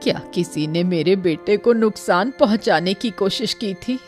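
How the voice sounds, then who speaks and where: A woman's voice: medium pitch, animated, normal speed, natural voice. A woman voicing an anxious, pleading old mother in a cartoon story. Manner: pleading, plaintive. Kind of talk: animated Hindi cartoon story acting. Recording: music under the voice.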